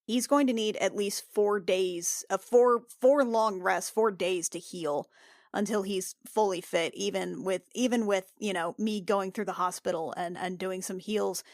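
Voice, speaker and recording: Female healer adventurer woman acting, halting, anxious, insistent, earnest, medium pitch, dry sound. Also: no echo, natural voice.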